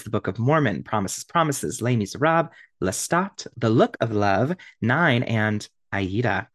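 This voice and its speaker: An excitable, gushing man co-hosts a chatty podcast, his pitch leaping around as he talks. He laughs often, and the sound is close and dry with no room around it. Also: no echo. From Ohio. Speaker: podcast co-host. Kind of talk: casual chat, reading a guest introduction.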